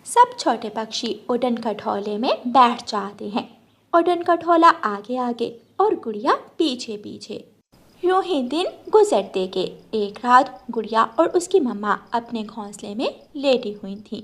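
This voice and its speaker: A woman's voice: on the high side, lively intonation, unhurried. A woman storyteller reading scripted narration for a children's cartoon moral tale.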